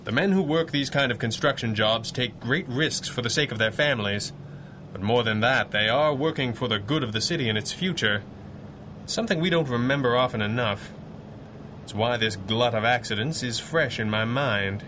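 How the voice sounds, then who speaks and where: A man acting as a masked hero from a 1930s radio serial, earnest and measured, at a middling pitch. His formal speech has a dry edge, with clear noise behind it. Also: lightly acted. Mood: grave, thoughtful. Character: composed, earnest masked superhero boss, a man.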